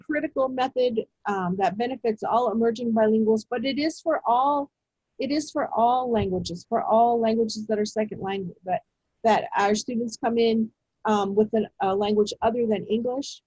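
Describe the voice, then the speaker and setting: Woman, webinar presenter, fairly high voice, husky, dry close sound, measured with fillers. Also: darkish tone, no room sound, low-quality recording. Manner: measured, many fillers and restarts. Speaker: education presenter. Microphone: video call.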